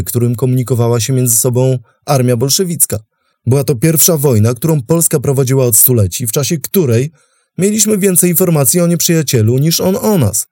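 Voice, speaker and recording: A man's voice: mid-range voice, mellow, no room sound, clean studio sound. A man narrating a scripted historical documentary. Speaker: host and narrator of a history podcast.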